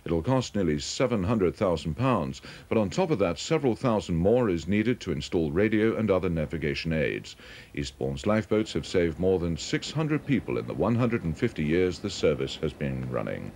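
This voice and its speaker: Man, British accent, very deep voice, scripted TV news bulletin, regional newsreader. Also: very animated, normal speed, darkish tone, a little hiss or hum. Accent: British English.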